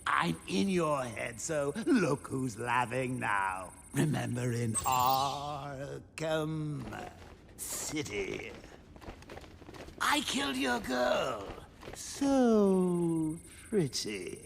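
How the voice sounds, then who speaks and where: Manic clown villain from a game, gleeful n taunting, drawn out, swoops all over. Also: male voice, fairly high pitch, very animated, very slow, vocal fry, a bit bright, slight background noise, exaggerated voice. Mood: gleeful singing.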